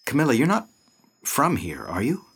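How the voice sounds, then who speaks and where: An actor in an audio drama playing an earnest young man in his twenties, easily flustered and halting. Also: mid-range voice, slightly rough, heavily acted. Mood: anxious, tender. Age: 20s. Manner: halting, excitable.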